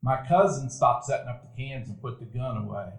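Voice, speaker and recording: A man narrating a stage radio play as a grown man recalling his boyhood, in a measured, reflective telling. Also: medium pitch, vocal fry, close to an everyday voice. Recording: roomy event hall.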